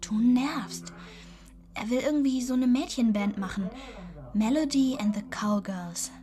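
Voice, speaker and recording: A woman's voice: a little high, measured pace, mellow, natural voice. A woman playing a sullen, snappish teenage girl in a German radio play, curt, grumpy and muttering. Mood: sullen, irritated. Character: sullen, snappish teenage daughter, embarrassed by her father. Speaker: actress in a radio drama.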